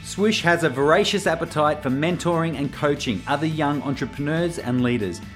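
A man's voice: fairly high pitch, moderate pace, music in the background. A man hosting a coaching podcast, introducing a guest and asking long, hesitant questions. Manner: hesitant, many fillers and restarts when asking questions. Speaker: podcast host. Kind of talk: podcast interview.

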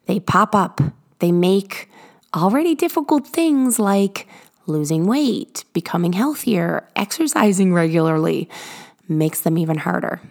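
A woman hosting a motivational podcast, her voice hoarse and raspy from illness, talking earnestly and encouragingly from her garage. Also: on the low side, animated, a little mellow. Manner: earnest, encouraging, drawn-out emphasis. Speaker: podcast host.

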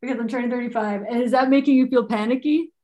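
A woman with a fairly high voice leads a coaching-style talk. She asks blunt, probing questions. She mixes jokes into her guidance. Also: fast talker, dark tone. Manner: direct, joking, probing questions. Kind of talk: guided coaching conversation.

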